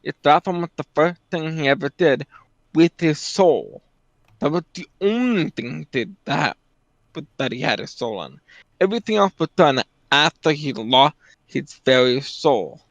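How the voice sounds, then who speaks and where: Casting: casual male commentator, somewhat high-pitched. Insistent, stumbles into points, dry close sound. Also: animated, measured pace, no echo. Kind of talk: casual discussion. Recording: dull, dry low-fidelity mic.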